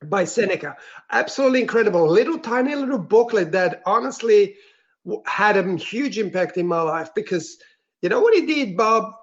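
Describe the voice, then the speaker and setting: A man from Switzerland, a guest on an interview, telling his personal story in an earnest, reflective and soft-spoken way. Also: high-pitched, expressive, moderate pace. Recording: dull, lo-fi sound.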